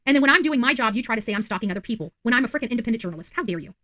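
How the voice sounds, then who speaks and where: A woman's voice: a little high, animated, racing, pushed and bright. A woman giving unscripted online commentary to viewers, emphatic and indignant, repeating phrases for stress. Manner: indignant, accusatory. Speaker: self-described independent journalist and online commentator.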